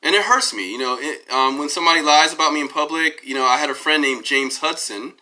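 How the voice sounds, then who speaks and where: Man, hoarse from a cold, bright edgy voice, rambling phone commentary, lots of ums and restarts. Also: a little high, fast talker, rough recording. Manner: tangential, many fillers and restarts. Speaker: DJ giving his side of a dispute. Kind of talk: rambling on-camera commentary while browsing web pages. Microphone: phone held up at arm's length.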